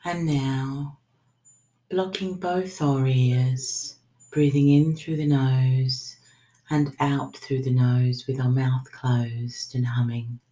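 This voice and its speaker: Very low, slow-speaking woman guiding breathwork. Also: steady tone, warm tone. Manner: soothing, unhurried, trailing into whispers. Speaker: breathwork meditation guide. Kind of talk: guided breathing meditation, spoken instructions. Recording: roomy, echoing sound.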